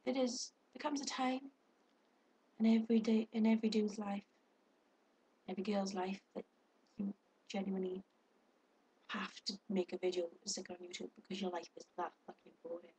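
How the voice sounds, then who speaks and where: A woman's voice: medium pitch, fairly even intonation, moderate pace, long pauses, warm tone, low-quality recording. A young woman chatting casually to her webcam, swearing freely and talking to viewers. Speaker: vlogger.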